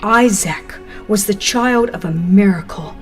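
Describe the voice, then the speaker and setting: Female narrator for dramatized religious audiobook: slow, solemn, expressive delivery with dramatic pauses, soft music behind. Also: mid-range voice.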